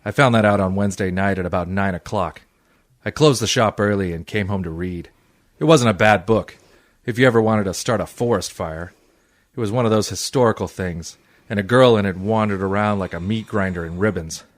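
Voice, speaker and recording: A man acting a cynical, wisecracking private eye in an old-time radio detective drama, with a dry deadpan delivery. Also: fairly low pitch, brisk, no echo, natural voice. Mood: wry, world-weary. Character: hard-boiled, wisecracking male private eye and odd jobber.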